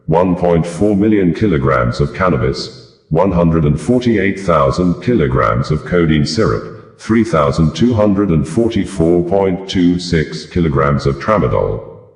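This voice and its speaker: A man reading news reports aloud in a measured, formal way. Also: a bass voice, darkish tone, echoey room. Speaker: news reader.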